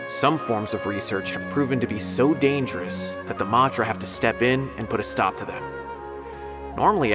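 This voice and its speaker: A man's voice: medium pitch, lightly acted. An actor voicing a polite, helpful male academic in a video game, explaining things earnestly with some concern. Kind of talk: scripted video game dialogue. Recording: music behind the voice.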